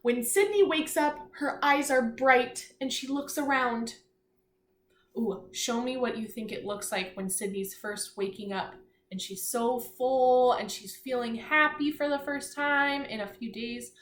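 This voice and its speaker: A woman teacher reading aloud to young children, pausing to explain simply, ask questions and encourage her listeners. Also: on the high side, fairly bright tone. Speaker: elementary school teacher. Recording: echoey room, faint background noise.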